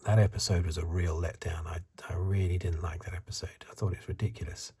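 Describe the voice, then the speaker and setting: A very breathy, soft-spoken man delivers ASMR commentary, often slipping into a whisper. His voice is deep and dark. He muses in a conversational, hesitant way, as if thinking aloud. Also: level tone, fast talker. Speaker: ASMR reviewer.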